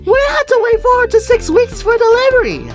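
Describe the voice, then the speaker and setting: Woman playing a cheeky young schoolboy, high pitch, wildly animated intonation, eager, exclamatory, storybook fandub. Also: a little fast, faint music behind, full character voice. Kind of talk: storybook fandub character acting.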